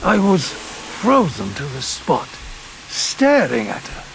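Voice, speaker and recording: A man's voice: fairly high pitch, wildly swooping pitch, takes it slowly, creaky, background noise, heavily acted. An actor playing a wistful elderly man in an audio drama, reminiscing about lost loves. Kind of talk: audio drama dialogue and narration.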